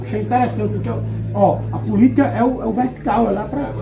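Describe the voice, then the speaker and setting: A man's voice: high-pitched, fairly even intonation, fast talker, vocal fry. A man talking at a panel in a loose, rambling way with many restarts and asides. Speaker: panel guest. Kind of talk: casual discussion. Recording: music behind.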